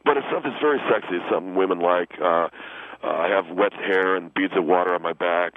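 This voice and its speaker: Man putting on a low-voiced, cocky young ladies' man. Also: fast, no echo, natural voice. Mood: smug boasting. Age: young adult. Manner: boastful, earnest, hesitant with many uhs. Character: vain, cocky young ladies' man, self-styled beach hunk. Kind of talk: improvised radio call-in comedy. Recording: dull lo-fi radio phone-in sound.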